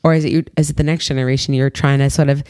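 A young woman host with a low voice chats enthusiastically and peppers her questions with fillers. Her voice sounds close and dry. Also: little pitch movement, rapid-fire, dark tone, clean tone, no echo. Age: young adult. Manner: chatty, enthusiastic, filler-heavy. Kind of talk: casual interview chat.

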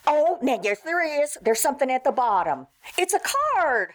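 An indulgent, knowing maid from an old-time radio comedy acted by a woman. She is high-pitched and very animated, polite to her mistress yet wry. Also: moderate pace, a little hiss or hum. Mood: wryly amused.

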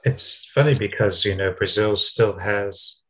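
A man interviewing a writer, asking measured, reflective questions. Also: on the low side, sharp tone, poor audio.

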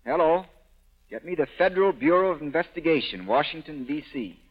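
A man's voice: on the high side, expressive, brisk, very noisy, close to an everyday voice. An actor playing a steadfast, protective man in love in a 1930s radio drama, earnest and resolute. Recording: dull old 1930s radio recording.

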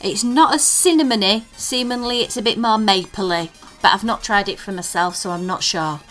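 Woman co-host, teasing casual chat, bright tone. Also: mid-range voice, moderate pace, soft background music. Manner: chatty, teasing, reads listener tweets aloud. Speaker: podcast co-host.

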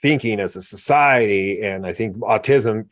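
A man's voice: lowish voice, normal speed, no room sound, clean studio sound. A man hosting an interview, musing aloud in a reflective, slightly rambling way. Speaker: podcast host. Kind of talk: casual interview conversation.

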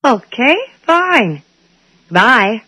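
A woman playing a brisk, officious but polite dental receptionist in a 1980s radio drama. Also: on the high side, very animated, sharp tone, no room sound, heavily acted. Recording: dull old radio recording.

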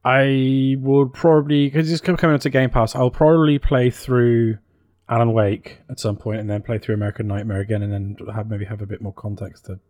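Guy on a casual podcast, kinda hesitant thinking out loud, really dry sound, normal pitch. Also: fairly even intonation, brisk, no room sound. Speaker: podcast co-host. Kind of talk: casual chat about games and films.

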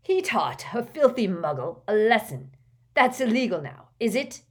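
A woman's voice: fairly low pitch, expressive, slow, exaggerated voice. Lady doing a nasty wizard dad in an audiobook, bellowing and sneering, full of contempt. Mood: defiant snapping. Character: raging, sneering middle-aged pure-blood wizard father. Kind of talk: dramatised audiobook character voice.

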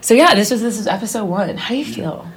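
A woman's voice: medium pitch, expressive, fast talker, over a noisy crowd. A woman co-hosting a podcast in casual, playful chat, laughing often and acting out bits of mock dialogue. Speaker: podcast co-host.

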